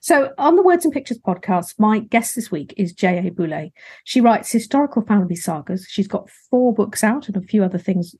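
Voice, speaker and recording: A woman's voice: mid-range voice, very animated, moderate pace, warm tone, husky. A woman hosting a podcast, chatting casually and rambling a little, with frequent fillers. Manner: friendly, rambling, many fillers. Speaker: podcast host. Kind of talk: casual interview chat.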